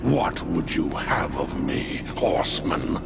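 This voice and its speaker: An actor playing a menacing, imperious undead king of the dead, speaking in a deep and ominous way. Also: very animated, takes it slowly, gravelly, full character voice. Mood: mocking. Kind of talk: game cutscene voice acting. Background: loud music.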